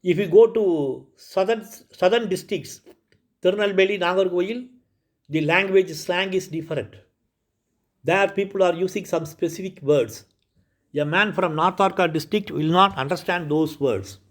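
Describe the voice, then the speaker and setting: A man from India with a Tamil-flavoured Indian English accent lectures on a recorded lesson. His voice sits high for a man. He explains patiently and circles back, repeating and correcting himself as he goes. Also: moderate pace. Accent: Indian English, Tamil Nadu. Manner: repetitive, self-correcting, explanatory.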